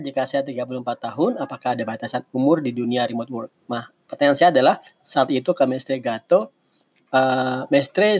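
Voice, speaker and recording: Male mentor, 40s, average pitch, informal and explanatory, answers questions with digressions. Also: darkish tone. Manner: conversational, explanatory, with digressions and self-corrections. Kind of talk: casual advice talk in a live session.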